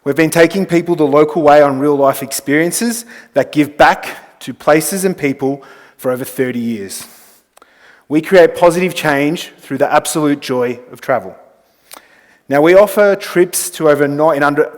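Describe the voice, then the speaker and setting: A man's voice: on the high side, moderate pace. Australian man speaking in an echoey hall. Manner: chatty, informal, self-deprecating. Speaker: corporate communications manager and conference speaker. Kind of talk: informal conference talk. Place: conference hall, on stage. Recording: echoey large hall sound.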